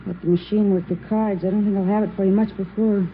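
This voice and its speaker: An actress playing an efficient, unhurried police records clerk in a matter-of-fact 1950s radio drama style. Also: female voice, medium pitch, little pitch movement, close to an everyday voice. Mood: brisk, businesslike. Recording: tinny, faintly noisy old radio recording.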